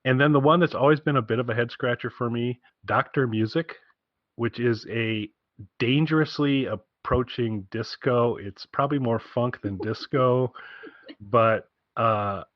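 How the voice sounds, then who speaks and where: A man's voice: medium pitch, unhurried, dry recording, muffled recording. A man co-hosting a podcast, rambling casually and enthusiastically about music recommendations with wry asides. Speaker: podcast co-host giving album recommendations.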